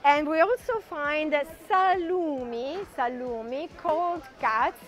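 A woman's voice: high-pitched, slow, faint music behind. A middle-aged woman with an Italian accent, a native Italian speaker teaching informally on location and repeating key words with translations. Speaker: language and culture teacher. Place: food market stalls and deli shop.

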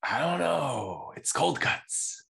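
A man's voice: on the high side, very animated, slow, creaky, bright, forceful tone, husky. A young man casually introducing himself as a guest on an online panel.

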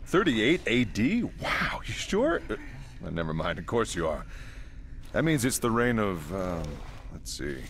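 An easygoing historian soldier in a game cutscene. He muses in a casual, slightly hesitant way, with a lowish voice and lively intonation. Faint ambience sits behind him. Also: measured pace, slight background noise. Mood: musing. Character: musing male soldier-historian, easygoing, bookish. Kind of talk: video game cutscene acting.

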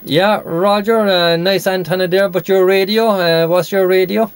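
A man's voice: high voice, steady tone, moderate pace. An Irish man from Cork chatting as a CB radio operator, repeating and spelling out words in radio phonetics. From Ireland. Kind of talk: radio contact chat. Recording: noisy CB radio shack recording.

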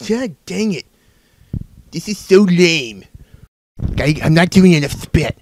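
A man's voice: high-pitched, animated, slow, a little mellow, a little hiss or hum, full character voice. A fan voice actor complaining sarcastically between blooper takes, grumbling about his small part.

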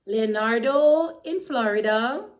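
A woman's voice: fairly high pitch, slow pace. A woman storyteller reading aloud for children. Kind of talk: read-aloud narration for children.

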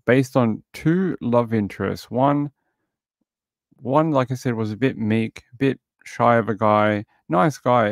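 A man's voice: on the low side, gravelly, dry recording, studio quality. A middle-aged man hosting a casual livestream, greeting viewers by name and recapping a true crime episode. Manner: chatty, greets viewers, recaps and reads articles aloud. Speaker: livestream host reviewing a true crime show.

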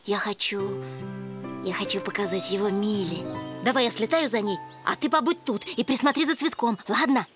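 A woman's voice: medium pitch, moderate pace, music in the background, close to an everyday voice. A woman voicing a serious, slightly bossy young bee boy in a children's cartoon. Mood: earnest.

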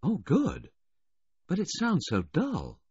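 A man's voice: fairly high pitch, wildly swooping pitch, slow, darkish tone, breathy edge, no echo, pitched above the performer's own voice, exaggerated voice. A man doing an airy, naive young woman. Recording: dull lo-fi, dry close audio.

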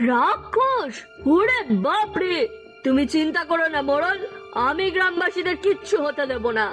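Woman as a brave magical moon, very high voice. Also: expressive, unhurried, fairly bright tone, full character voice. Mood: alarmed, resolute. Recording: music under the voice.

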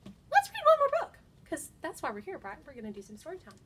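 High-pitched woman hosting children's storytime. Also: huge pitch swings, fairly bright tone. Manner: slow, encouraging, child-directed. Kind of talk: singing songs and reading aloud to young children. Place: indoor room by large windows.

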